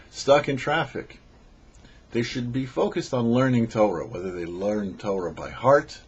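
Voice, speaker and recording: A man who is a rabbi, giving a short weekly Torah teaching with measured, slow emphasis on key phrases. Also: lowish voice, darkish tone, slightly rough, muffled recording. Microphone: studio microphone.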